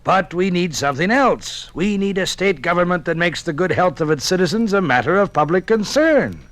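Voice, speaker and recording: A man's voice: high-pitched, animated, moderate pace, fairly bright tone. A man with an American accent acting an earnest, oratorical 19th-century doctor in a 1950s radio drama. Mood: urgent, earnest. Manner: emphatic, oratorical. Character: earnest 19th-century doctor urging public health reform.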